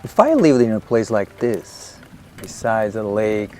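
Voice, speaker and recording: A man playing a diffident, dreamy writer who can be quietly critical, understated and hesitant, in an audio drama. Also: on the low side, sing-song, measured pace, soft background music.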